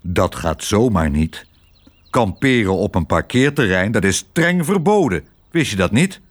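A big storybook police dog acted out by a man reading aloud, with a husky, rough-edged voice and swooping intonation. He sounds officious and bossy, a gruff enforcer with a kind streak. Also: on the low side, big pitch swings, measured pace. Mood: stern, threatening.

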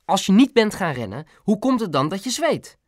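Male narrator as a dramatic mother, high-pitched, emphatic, excitable, very animated, audiobook character. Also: moderate pace, no room sound, studio quality. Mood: suspicious, indignant. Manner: gushing, emphatic, scolding when crossed. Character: excitable, dramatic mother of a boy, proud nail technician.

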